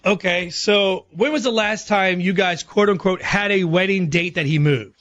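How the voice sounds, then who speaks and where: A man's voice: high voice, normal speed, fairly bright tone, soft background music. A man hosting a radio show, talking in a casual, chatty style. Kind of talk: casual radio banter and prank calling. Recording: slightly muffled lo-fi audio.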